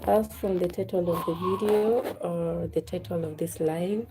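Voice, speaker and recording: A woman's voice: fairly low pitch, steady tone, normal speed, background noise. A woman from Kenya with a cold, chatting casually with viewers of her livestream while washing dishes in her home kitchen. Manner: chatty, greets viewers, reads the live chat aloud. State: has a cold. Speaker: livestream host. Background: music.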